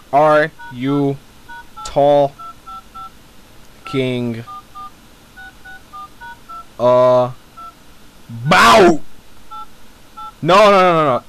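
A young man doing casual gameplay commentary, talking to himself in profane, slangy, excitable bursts. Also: a little high, slight background noise, poor audio. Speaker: gamer. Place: at home at night. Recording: music behind the voice. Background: music.

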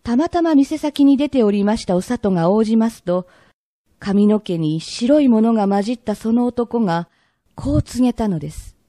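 A woman narrating an old Edo tale for a radio drama in polite, measured storyteller phrasing. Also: on the high side, expressive, dark tone, dry recording, studio quality, natural voice.

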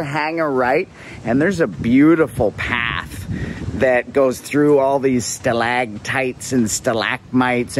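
A man's voice: mid-range voice, a little hiss or hum. A man in his forties giving a chatty, upbeat walking tour of a tropical beach, filmed at arm's length outdoors. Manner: upbeat, chatty, repeats words. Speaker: travel vlogger. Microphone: selfie camera at arm's length.

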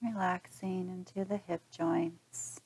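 Woman doing a guided meditation, really slow n calm, kinda low voice. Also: slightly rough, poor audio. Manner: calm, gentle instruction with long pauses. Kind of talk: guided body scan meditation narration.

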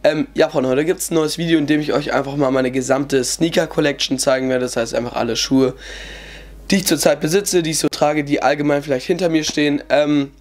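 Fast-talking young man with a cold. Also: fairly high pitch, steady tone. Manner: chatty, heavy on fillers. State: has a cold. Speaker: sneaker vlogger. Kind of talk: casual sneaker collection vlog. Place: indoor bedroom with shoe shelves.

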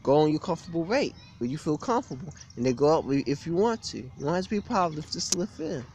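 A man's voice: a little high, sing-song, fast talker, a little mellow, a little hiss or hum. A young man giving a blunt, repetitive, aggrieved rant to camera in an informal way, recorded outdoors on a handheld device. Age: young adult. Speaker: gym vlogger. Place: outdoors beside a brick building on a street. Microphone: phone or webcam at arm's length.

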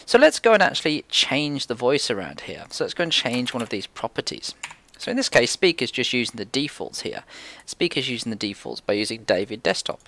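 A man walks through a coding screencast in an informal, think-aloud way. His pitch moves around a lot in an animated fashion. There is slight background noise with keyboard clicking. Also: brisk. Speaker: tutorial presenter. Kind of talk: casual screencast coding tutorial.

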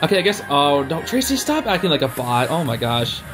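Casting: young male reaction host, high and very lively delivery, excitable slang. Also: fairly quick. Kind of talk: casual live reaction commentary. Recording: music playing behind the voice. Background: stage show music.

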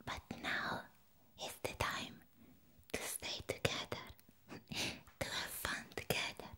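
A woman who is not a native English speaker, voicing a sweet, doting vampire in a breathy whispered ASMR roleplay, repeating tender words softly. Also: slow. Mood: affectionate. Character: sweet, doting female vampire, adoring and clingy.

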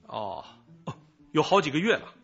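Radio drama part: middle-aged male informant, high bright voice, wordy, evasive, self-excusing. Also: sing-song, moderate pace, creaky, dull audio, soft background music, heavily acted. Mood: explaining. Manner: chatty, rambling, sighing, hedging.

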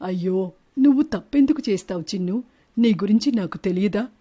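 A woman's voice: mid-range voice, lively intonation, a little fast, mellow, close to an everyday voice. A woman voicing suspicious, wronged male birds in a cartoon story, questioning and confrontational. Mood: concerned. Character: suspicious, wronged male bird husbands and lovers, accusing. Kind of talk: animated Telugu moral-story dialogue.

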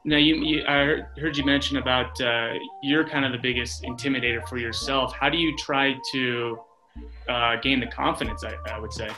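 A man's voice: medium pitch, fairly even intonation, moderate pace, pushed and bright, poor audio. An American man in his thirties doing a casual sports interview as a radio announcer over a video call. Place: small office, indoors, on video call. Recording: video call with music underneath. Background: music.

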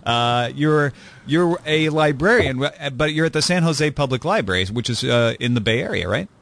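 Male podcast host, lively swooping intonation, joking, teasing, casual panel chat, medium pitch. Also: normal speed, gravelly.

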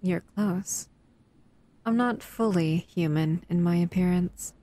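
Female humanoid monster, played by a woman for audio roleplay: bored, teasing predator, unhurried delivery, somewhat low pitch. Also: mellow. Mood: playful menace. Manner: languid, teasing, menacing. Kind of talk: slow audio roleplay to the listener.

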